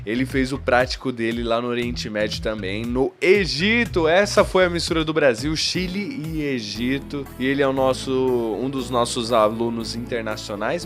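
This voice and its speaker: Upbeat male host, playful intro delivery, mid-pitched, light music under him. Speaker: podcast host. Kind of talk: casual podcast intro.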